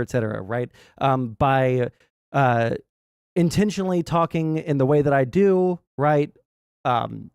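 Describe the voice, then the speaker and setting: A man's voice: mid-range voice, darkish tone, clear, resonant tone, no room sound, studio quality. A man hosting a casual gaming livestream, rambling through his points in a blunt, sweary way and often checking in with "right?". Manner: rambling, halting explanations peppered with "right?". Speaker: livestream host.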